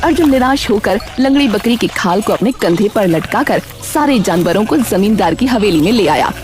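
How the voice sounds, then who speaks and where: Lively woman storyteller over music. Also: medium pitch, normal speed. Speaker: narrator. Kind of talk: scripted storytelling narration.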